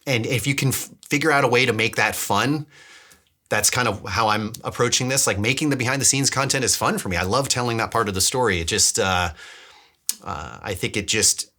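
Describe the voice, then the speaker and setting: Bright-voiced man rambling through a casual vlog. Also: medium pitch, brisk. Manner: rambling, thinking aloud with repeated words. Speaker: DIY filmmaker and vlog host. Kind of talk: casual devlog talk to camera.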